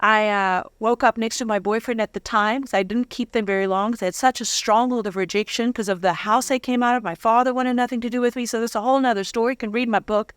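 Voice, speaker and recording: A woman's voice: fairly high pitch, fairly even intonation, quick pace, hardly any pauses, smooth, no echo. A woman telling her personal testimony as an interview guest, with dramatic, candid storytelling and slangy humour.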